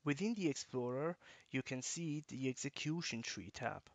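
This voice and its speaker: A man with a mid-range voice reads a software walkthrough in an even, instructional way. The recording is dry and close, with no room sound. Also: medium pitch, no room sound. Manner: measured, instructional. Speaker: software tutorial narrator. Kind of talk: scripted screencast narration.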